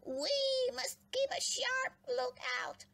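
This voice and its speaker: Give this to a small, excitable woodland creature from a storybook. The voice is extremely high and piercingly bright, with a gravelly creak at the edges. It exclaims urgently, stretching the words out very slowly. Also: female voice, exaggerated voice. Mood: worried.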